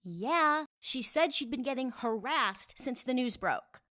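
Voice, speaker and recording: A woman's voice: high-pitched, animated, unhurried, pushed and bright, no echo, clean studio sound, heavily acted. A woman acting a blunt, gossipy cafe customer in a fantasy audio drama. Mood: chatty. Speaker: voice actor in a fiction podcast.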